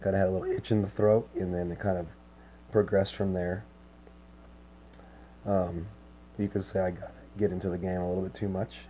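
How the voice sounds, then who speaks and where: A hoarse man chats easily with viewers on his livestream, his voice sitting low and dark. He talks in a relaxed, unhurried way, scattering filler words between his thoughts. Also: slight background noise, low-quality recording. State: hoarse, lost voice. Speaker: game streamer. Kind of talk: casual livestream chat with viewers.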